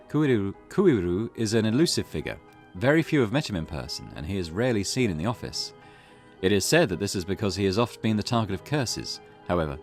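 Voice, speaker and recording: A man hosting a gaming video, reading game text aloud with casual commentary. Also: lowish voice. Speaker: gaming channel host. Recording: music behind the voice. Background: game music.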